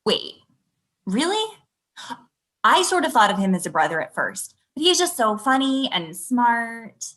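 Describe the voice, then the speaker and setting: Woman playing young girlfriend, slightly high voice, wounded and confrontational, naturalistic video-call read. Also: very animated, unhurried, a bit bright. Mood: uncertain. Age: young adult. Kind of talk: acted pilot table read, naturalistic. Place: small room at home, video call. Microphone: computer video-call mic.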